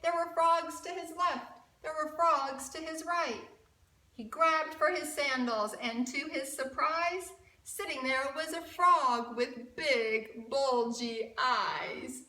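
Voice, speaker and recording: A woman storyteller reading a picture book aloud to children, expressive and deliberate, often putting questions to her listeners. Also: sits high. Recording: roomy echo, distant mic.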